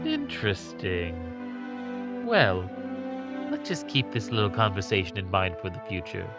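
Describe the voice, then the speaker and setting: A man's voice: low-pitched, huge pitch swings, unhurried, over loud music, full character voice. A man playing a smug, needling district attorney in an audio drama, with a theatrical, mock-casual manner. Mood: smug, prying.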